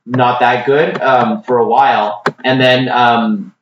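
A man's voice: medium pitch, steady tone, slow pace, noisy background. A man hosting a casual chat, rambling with lots of filler words. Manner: rambling, heavy on "like" and "um". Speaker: podcast host. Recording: roomy, distant, dull.

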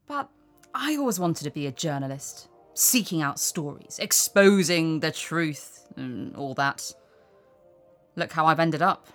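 A woman's voice: low voice, animated, measured pace, faint music behind. A woman voicing a jaded celebrity TV presenter in an audio drama, dry, offhand and cynical. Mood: wistful.

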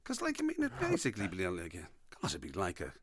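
A man's voice: low-pitched, wildly swooping pitch, fast, no echo. A man with an Irish accent co-hosting radio in casual comic chat, musing and thinking aloud with hesitant phrasing. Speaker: radio show co-host.

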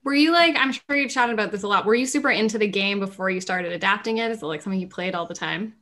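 A woman's voice: mid-range voice, quick pace, a bit bright. Woman cohost, easygoing, says like a lot while asking stuff. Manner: casual, many likes, asks questions. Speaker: podcast co-host. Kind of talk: casual podcast conversation.

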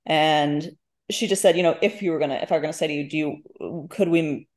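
A woman speaking as a guest author in a casual interview, thoughtful and halting, often correcting herself mid-sentence. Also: low voice, a little mellow. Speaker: guest author, short story writer. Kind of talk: casual literary interview chat.

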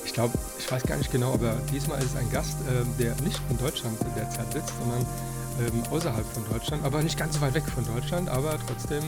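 A man hosting a casual podcast, talking in a halting, wordy way and circling around his point. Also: mid-range voice, loud music behind the voice. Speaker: podcast host. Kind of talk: rambling, casual podcast introduction and interview.

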